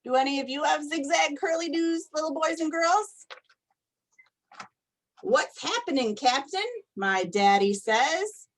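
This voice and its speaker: Slow-paced, higher-voiced woman reading a storybook. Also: rough recording. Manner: slow, deliberate, expressive, asks listeners questions. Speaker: storytime reader for young children. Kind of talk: animated picture-book read-aloud to children.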